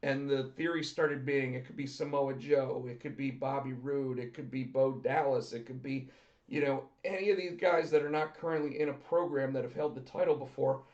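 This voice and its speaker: A man co-hosting a casual wrestling podcast, talking excitedly and repeating himself, with his voice going hoarse. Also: mid-range voice, normal speed. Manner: excited, talkative, repeats words when starting. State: losing his voice. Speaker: podcast co-host. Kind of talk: casual chat about pro wrestling. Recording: roomy, dull lo-fi sound.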